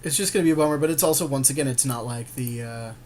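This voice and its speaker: A man's voice: mid-range voice, fast, a little mellow, slight background noise. A man chatting informally as he co-commentates a card game he is playing. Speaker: podcast co-host and player. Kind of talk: casual co-commentary on a card game being played.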